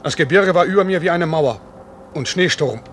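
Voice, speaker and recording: An actor playing an earnest, dutiful night pilot in a 1950s German radio drama. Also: male voice, a little high, moderate pace, fairly bright tone, close to an everyday voice. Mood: shaken, contrite. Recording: noisy old radio recording, engine drone.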